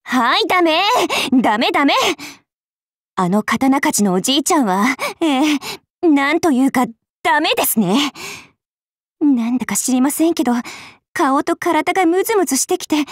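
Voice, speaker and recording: Prickly high-voiced teenage demon girl. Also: lively intonation, moderate pace, no echo, studio quality. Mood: huffy, uneasy. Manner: polite, huffy, dismissive. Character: prickly teenage girl love-god demon, easily annoyed. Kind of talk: Japanese anime game character lines.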